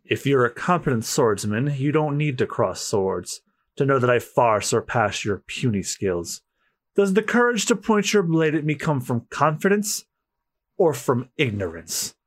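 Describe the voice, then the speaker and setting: A man voicing a cool, haughty master swordsman from a comic, measured and condescending. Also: mid-range voice, very animated. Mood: cold, haughty.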